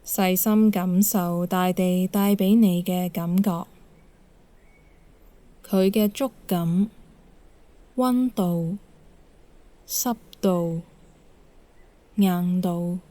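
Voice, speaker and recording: A woman leads a guided meditation as an instructor, calm and measured, giving gentle instructions with pauses. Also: on the low side, dry recording.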